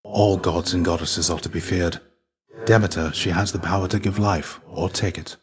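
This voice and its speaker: An actor playing the ghost of a fallen Greek warrior in a video game, a gentle, fatherly mentor with measured, formal, old-fashioned phrasing. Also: low-pitched, fairly even intonation, lightly acted. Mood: cautioning. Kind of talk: video game character dialogue.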